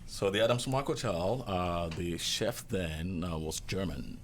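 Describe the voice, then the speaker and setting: Deep-voiced Nigerian man telling stories. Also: measured pace, a little hiss or hum. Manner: relaxed, chuckling anecdotes with many fillers. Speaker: guest chef. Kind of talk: casual interview storytelling.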